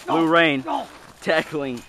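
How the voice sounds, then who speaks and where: A young man giving live play-by-play of amateur wrestling in short clipped calls, with excited outbursts at big moves. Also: very high-pitched, slow pace, vocal fry. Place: outdoors in a backyard beside a trampoline. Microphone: handheld camera mic. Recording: noisy handheld outdoor camera audio.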